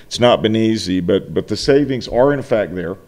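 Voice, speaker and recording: Man, middle-aged, low voice, formal board report, earnest, emphatic, desk microphone, meeting room. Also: lively intonation, moderate pace, a little mellow. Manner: earnest, emphatic, with frequent restarts. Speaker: college finance officer. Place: meeting room, seated at a table.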